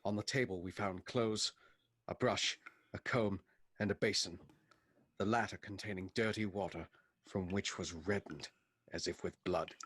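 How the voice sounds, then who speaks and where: An actor playing a sober narrator of a gothic tale in a scripted radio play. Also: male voice, deep voice, steady tone, moderate pace, long pauses, a bit bright, slightly rough. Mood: grave.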